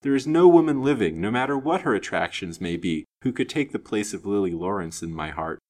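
A man voicing an honest, earnest young gentleman in an audiobook story, speaking formally and steadily with gentle rebuke. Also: fairly low pitch, animated, fairly quick, natural voice. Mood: gently firm. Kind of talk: dramatised audiobook reading.

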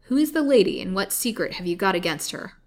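Woman acting a stern gentleman doctor. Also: fairly low pitch, brisk. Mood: stern questioning. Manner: demanding, questioning.

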